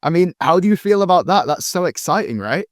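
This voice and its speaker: A man's voice: high voice, lively intonation, fairly quick, no room sound, clean studio sound. A man hosting a casual fan interview in an eager, effusive manner. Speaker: interviewer, host.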